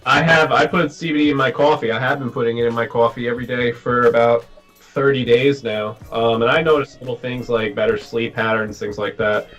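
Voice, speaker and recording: A man cohosts a casual podcast in a mid-pitched voice. He speaks in a relaxed, measured way and pauses to think as he goes. Faint music sits under him. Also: muffled recording. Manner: relaxed, measured, hesitant fillers. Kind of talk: casual chat.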